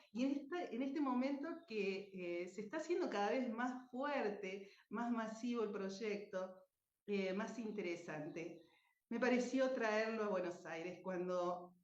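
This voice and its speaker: A woman with an Argentine Spanish accent giving a personal talk, speaking expressively with theatrical emphasis. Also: medium pitch. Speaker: speaker, radio drama writer and cultural manager. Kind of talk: personal talk at a virtual conference. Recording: echoey room, dull muffled sound.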